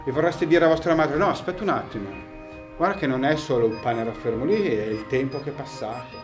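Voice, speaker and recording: A man talking to students in an animated way, addressing them directly. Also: mid-range voice, a little fast, over music. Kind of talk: storytelling to students.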